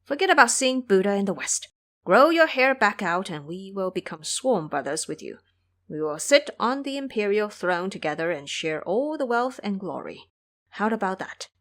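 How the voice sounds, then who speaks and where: Woman acting out a male monarch, grand, formal, commanding, very animated, audio drama. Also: medium pitch, moderate pace. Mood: pleading, earnest. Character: distraught, pleading, imperious king.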